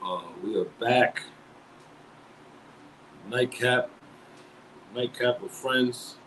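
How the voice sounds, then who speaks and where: A man at a middle pitch hosts a live-stream talk show, chatting loosely in slang and calling out viewers by name. He shifts into long, earnest stretches where he preaches his point to the audience. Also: drawn-out words, a little hiss or hum, poor audio. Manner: slangy and conversational, reads out viewer shout-outs; speaks earnestly and preachily at length. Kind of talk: casual live-stream chat.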